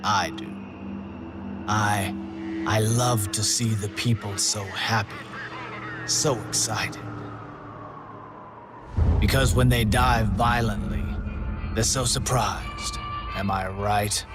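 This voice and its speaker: An actor playing a suave, gleefully morbid contest master, briefing players in a video game with deliberate, silky menace. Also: male voice, lowish voice, fairly even intonation. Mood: gleeful malice. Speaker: contest host. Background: music.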